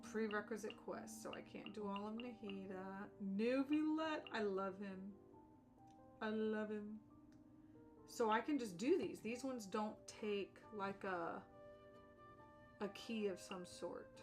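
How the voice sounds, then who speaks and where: A woman streams games with music playing clearly behind her. Her voice sits at an average pitch for a woman. She talks casually and chattily, with slang and plenty of opinions. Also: takes it slowly. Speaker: streamer, content creator. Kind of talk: casual livestream gaming chat.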